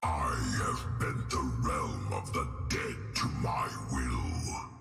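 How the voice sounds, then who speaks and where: An immense undead tyrant in iron armour speaks from a vast reverberant space over music. The voice is extremely deep and creaky, delivering each imperious, contemptuous video game villain line with great slowness. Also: male voice, fairly bright tone, heavily acted. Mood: menacing. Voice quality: gravelly, booming deep voice. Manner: slow, grandiose, scornful pronouncements. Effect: heavy reverb. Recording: cavernous reverb, distant, music behind.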